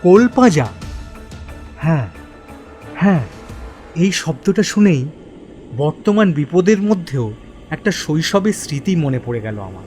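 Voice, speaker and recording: A man playing a bewildered narrator in a first-person horror story, speaking theatrically in halting, suspenseful repeated phrases. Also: fairly high pitch, sing-song, a little mellow, soft background music. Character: bewildered man haunted by forgotten childhood memories.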